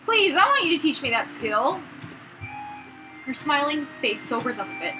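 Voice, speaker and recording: A woman's voice: sits high, sing-song, moderate pace, over music. A young woman co-hosting a let's play, casually chatting and reading a visual novel aloud in a playful, giggly manner. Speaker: let's play co-host reading game dialogue. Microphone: fallback mic after the main one failed. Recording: noisy, no proper microphone.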